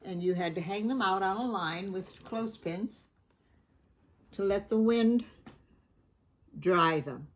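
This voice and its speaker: Woman, picture book read-aloud, unhurried, husky, gentle, asks kids questions. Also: mid-range voice. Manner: gentle, chatty, pauses to ask the listener questions. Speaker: storybook reader.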